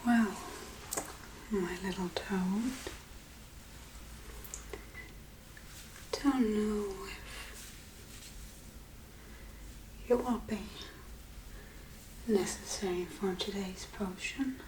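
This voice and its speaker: A woman voicing a spooky, gently teasing witch in a soft-spoken ASMR role-play, murmuring in a breathy whisper. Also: mid-range voice, noisy background. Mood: teasing, musing. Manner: murmuring, unhurried, muttering to herself. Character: spooky Halloween witch, softly curious, gently teasing, apologetic.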